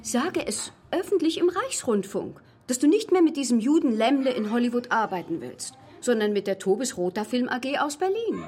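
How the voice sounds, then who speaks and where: A woman in a radio play acting the sharp-tongued, jealous wife of a film star, sarcastic and pressing. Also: a little high, very animated, moderate pace, hardly any pauses. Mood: urgent, pressing.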